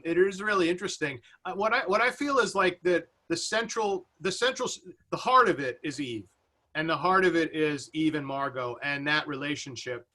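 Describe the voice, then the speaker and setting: Bright, higher-pitched man thinking aloud. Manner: halting, searching delivery with repeated words. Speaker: panelist. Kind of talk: unscripted panel discussion.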